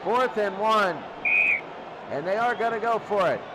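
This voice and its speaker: A man's voice: sits high, a little slow. A man doing live play-by-play football commentary in short, clipped phrases, often repeating his calls. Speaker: play-by-play sports announcer. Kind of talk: excited live American football radio commentary. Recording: loud crowd roar and heavy noise.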